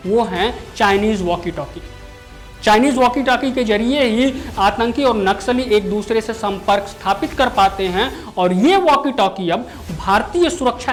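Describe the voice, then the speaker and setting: A woman news anchor explaining a story in long, partly ad-libbed sentences that circle back on phrases. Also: medium pitch. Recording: music bed under the voice. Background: background music.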